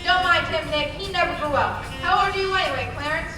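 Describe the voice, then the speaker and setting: A woman's voice: very high-pitched, fast. A young woman acting a desperate, bewildered everyman in an old-fashioned radio play. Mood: puzzled. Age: young adult. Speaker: student actor. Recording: echoey distant stage recording, music behind.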